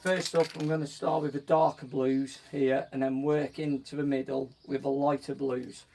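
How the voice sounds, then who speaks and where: A man with a croaky voice casually explaining each step of a painting as he works. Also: mid-range voice, normal speed. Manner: relaxed, talks while working, frequent "so" and "just gonna". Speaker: painting instructor on a live stream. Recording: voice from a distance from the mic, faint background noise.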